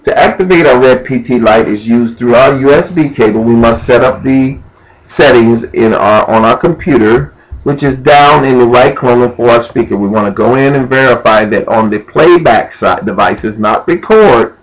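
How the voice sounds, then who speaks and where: A man giving an informal, unscripted step-by-step software walkthrough, thinking aloud as he goes. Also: medium pitch, normal speed, low-quality recording. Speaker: amateur tutorial presenter. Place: indoors at a computer.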